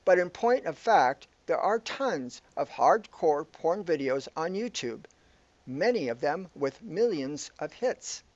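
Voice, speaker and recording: A man's voice: a little high, animated, takes it slowly. A man playing a bewildered, aggrieved man trapped in an absurd afterlife, complaining wordily in amateur sci-fi audio comedy style. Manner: complaining, wordy. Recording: echoey room, dull lo-fi sound.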